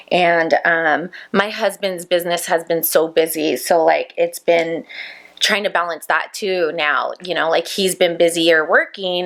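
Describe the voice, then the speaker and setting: A woman's voice: lowish voice, vocal fry, a bit bright. A woman in her thirties, a makeup business owner, chatting casually to camera and reflecting aloud, with plenty of "like" fillers. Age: 30s. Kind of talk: casual chat to camera while doing makeup.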